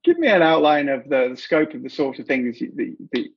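A man from the UK with a British accent, hosting an interview and asking friendly, halting questions full of restarts. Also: on the high side, big pitch swings, husky, lo-fi sound. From United Kingdom. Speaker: interview host.

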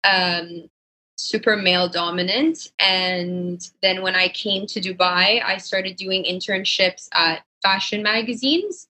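Bright-voiced young woman chatting. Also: mid-range voice, steady tone, takes it slowly, poor audio. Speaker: podcast guest, fashion designer. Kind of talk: casual conversational interview answers.

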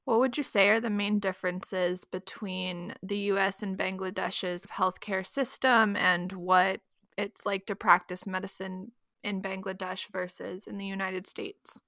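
Gravelly-voiced woman interviewer. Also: mid-range voice, level tone, a little slow, fairly bright tone, dry recording, studio quality. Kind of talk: interview questions.

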